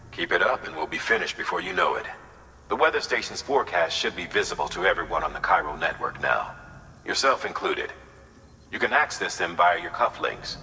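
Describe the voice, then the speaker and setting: Very deep, authoritative male commander. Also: pushed and bright, heavily acted. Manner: measured, formal, authoritative. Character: stern, measured male commander overseeing a mission. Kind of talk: video game cutscene acting. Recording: reverberant hall, faint background noise.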